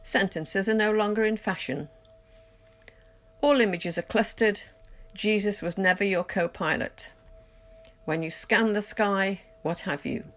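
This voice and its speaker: A woman reading poetry aloud in a measured, deliberate literary recitation, pausing between phrases. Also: medium pitch. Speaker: poetry reader. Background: music.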